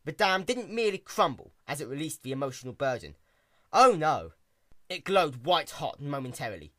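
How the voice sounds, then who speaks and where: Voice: mid-range voice, a bit bright, no room sound, clean studio sound. A narrator giving a dramatic, scripted story reading in a theatrical, wry manner.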